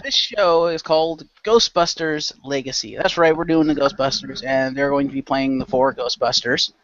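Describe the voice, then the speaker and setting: A man's voice: medium pitch, moderate pace, a bit bright, no room sound. A man hosting and narrating a role-play show as game master in a loose, informal way. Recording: dull lo-fi close microphone.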